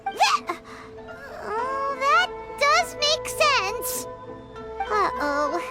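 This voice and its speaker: A small floating companion from a video game. The voice is squeaky and extremely high, with an animated, unhurried delivery. It sounds childlike, excitable and earnest, over prominent music. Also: female voice, full character voice. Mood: deflated. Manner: exclaiming, stammering when startled. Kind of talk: video game character dialogue.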